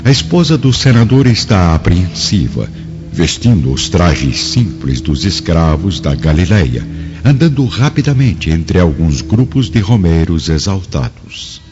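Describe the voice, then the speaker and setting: A man's voice: sits low, sing-song, unhurried. A man with a Brazilian accent giving dramatic scripted narration for a radio drama. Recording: dull lo-fi audio with music.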